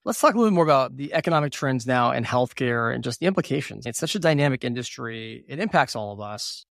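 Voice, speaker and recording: A male podcast host who talks quickly, with a gravelly edge to his voice. He encourages his guest and moves on with quick follow-up questions. The sound is dry and close. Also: medium pitch, lively intonation, a bit bright, no room sound. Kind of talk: interview questions.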